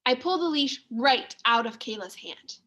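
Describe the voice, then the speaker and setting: Female storybook reader, teacherly and expressive; unhurried, very animated delivery. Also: on the high side. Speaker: second grade teacher reading aloud. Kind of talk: children's story read-aloud.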